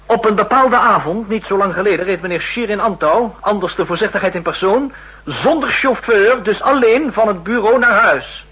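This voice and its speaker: An actor playing a driven counter-intelligence official, formal, pointed and emphatic, in a 1960s radio drama. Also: male voice, high voice, normal speed, sharp tone, exaggerated voice, rough recording. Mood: insistent, biting. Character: driven, insistent male counter-intelligence official making accusations. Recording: rough old 1960s radio recording.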